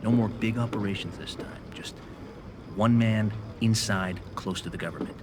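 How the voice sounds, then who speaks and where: Game role: male actor as smooth, middle-aged American government spook, lowish voice, measured and persuasive, noisy ambient background. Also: fairly even intonation, lightly acted. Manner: measured, persuasive, matter-of-fact. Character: calculating middle-aged male CIA agent, smooth schemer. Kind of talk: cinematic video game acting.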